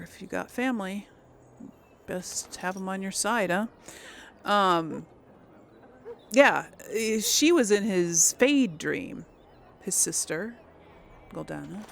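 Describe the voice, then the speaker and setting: A woman's voice: mid-range voice, expressive, takes it slowly, creaky, long pauses, slight background noise. A woman doing casual let's play commentary, informal and thinking aloud as she goes. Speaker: game streamer. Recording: faint game sounds behind voice. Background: video game ambience.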